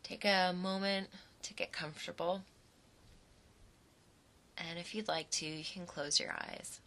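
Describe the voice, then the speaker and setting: A woman guides a relaxation exercise in a low voice with a noticeable creak. She takes her time, letting short, soothing phrases settle between pauses. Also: steady tone, a bit bright. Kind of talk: guided imagery meditation.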